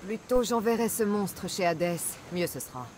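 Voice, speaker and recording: A woman's voice: mid-range voice, expressive, moderate pace, background noise, lightly acted. A woman playing a tough, dry-witted young Greek mercenary in a video game, curt and sardonic. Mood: dry, impatient.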